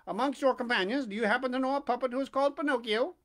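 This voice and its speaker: A woman voicing a large, helpful male pigeon in a storybook, brisk and declarative. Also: high-pitched, voice pushed up, lightly acted. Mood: inquiring.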